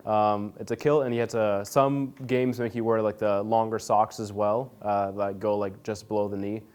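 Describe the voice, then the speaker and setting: Laid-back young man, smooth low voice. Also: moderate pace. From Nebraska, USA. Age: young adult. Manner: relaxed, rambling, many fillers and restarts. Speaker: interview guest. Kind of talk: casual interview answers. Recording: radio studio interview.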